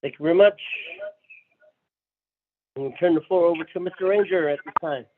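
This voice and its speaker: A man's voice: a little high, normal speed. A man chairing a committee meeting, courteous and formal as he hands over the floor. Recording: tinny phone line, distant.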